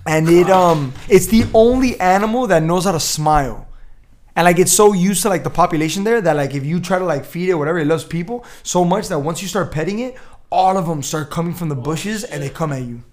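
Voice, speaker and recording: A young man hosting a podcast, telling loud, profane, teasing stories among friends. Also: high-pitched, brisk. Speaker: podcast host. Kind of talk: rowdy casual chat among friends.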